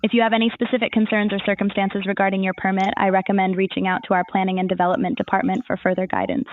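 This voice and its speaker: A woman's voice: mid-range voice, flat delivery, rapid-fire, narrow, tinny audio, a little hiss or hum. A woman's voice as an AI assistant, giving scripted, formal informational answers in a customer-service manner.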